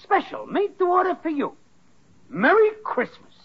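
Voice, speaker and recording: A man's voice: very high voice, huge pitch swings, normal speed, heavily acted. An actor playing a needling male coworker in a 1940s radio sitcom. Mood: slyly teasing. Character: needling male coworker presenting a gag gift. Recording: dull old radio sitcom recording.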